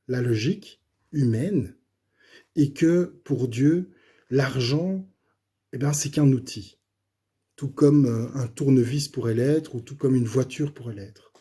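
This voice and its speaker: A middle-aged man, slightly hoarse, gives a personal faith testimony in a halting, casual way with many fillers and restarts. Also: medium pitch, dark tone.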